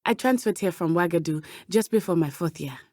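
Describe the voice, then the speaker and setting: Brave teen witch girl from a video game, lively pitch, careful formal wording, dry close sound. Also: no room sound. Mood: warm, earnest. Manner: precise, measured, formal diction. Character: earnest, brave teenage schoolgirl witch from Uganda. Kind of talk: video game character dialogue.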